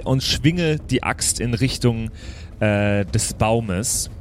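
A man playing a tabletop game, chatting casually and thinking aloud in a halting way. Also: medium pitch, a little hiss or hum. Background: faint background music.

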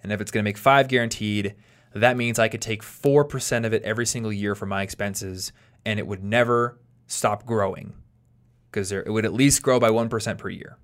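A man in his late twenties hosting a podcast, explaining things in a relaxed chat while thinking aloud and restarting his sentences. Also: on the low side, a little fast. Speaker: podcast host.

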